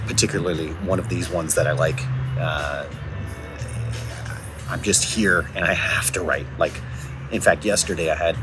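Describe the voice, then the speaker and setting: A man speaking casually in a self-recorded video diary on location, thinking aloud in a halting way with repeated words. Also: low voice. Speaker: audio drama writer and producer. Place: coffee shops and outdoors, on location. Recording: noisy on-location recording with ambient sound.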